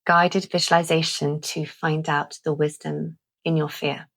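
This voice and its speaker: A woman leading a calming guided visualisation in a gentle, deliberate manner with long pauses. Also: low voice, vocal fry. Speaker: guided meditation host.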